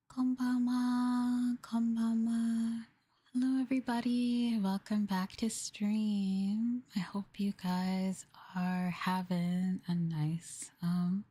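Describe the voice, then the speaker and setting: A soft-spoken, gentle woman streamer doing an ASMR livestream close to the microphone, sometimes drifting toward a whisper. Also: medium pitch, no echo. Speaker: VTuber streamer.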